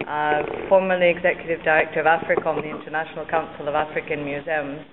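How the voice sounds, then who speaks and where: A woman's voice: deep voice, little pitch movement, fast talker. A woman from Kenya introducing herself informally to a meeting. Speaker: meeting participant, museum professional. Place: university meeting room. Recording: muffled, noisy meeting recording.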